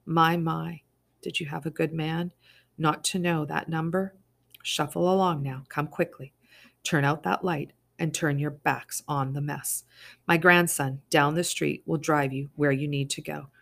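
A woman's voice: low voice, steady tone, unhurried, creaky, heavily acted. A woman reading aloud, voicing a kind, tired old woman neighbor who urges a family to hurry. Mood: urgent.

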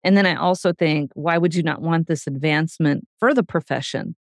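A woman's voice: sits low, brisk, dry recording. A woman in her forties co-hosting a podcast, speaking emphatically about her profession and laughing easily. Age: 40s. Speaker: podcast co-host. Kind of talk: podcast conversation.

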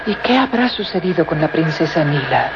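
An actress playing a compassionate, principled young woman, a professor's daughter, speaking gently and formally in a radio serial. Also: low voice, sing-song, normal speed. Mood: concerned. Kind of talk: melodramatic radio serial acting. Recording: muffled noisy old radio recording.

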